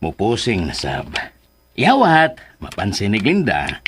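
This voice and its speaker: A man acting a boastful, lovestruck suitor in a radio drama, with a rough husky voice and exaggerated comic delivery. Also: fairly low pitch, huge pitch swings, unhurried, noisy background. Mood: teasing. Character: boastful, lovestruck man courting a woman, teasing his pal.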